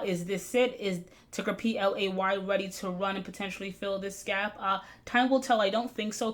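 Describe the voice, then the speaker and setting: A middle-aged woman hosting a stock market video, recapping charts in a brisk analytical way with frequent fillers, her voice slightly hoarse. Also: mid-range voice, fairly bright tone.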